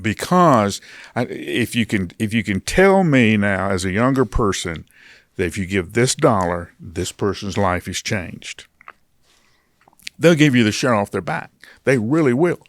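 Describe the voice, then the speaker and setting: A man's voice: fairly low pitch, animated, unhurried, no room sound, clean studio sound. A middle-aged man hosting a casual interview, asking questions and affirming his guest. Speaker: interview host, pastor.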